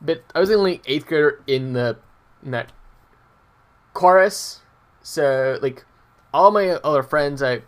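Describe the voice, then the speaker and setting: Young man rambling, higher-pitched voice. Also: a little slow, long pauses. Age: young adult. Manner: hesitant, meandering, breaks off mid-sentence. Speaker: vlogger. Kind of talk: casual rambling personal storytelling.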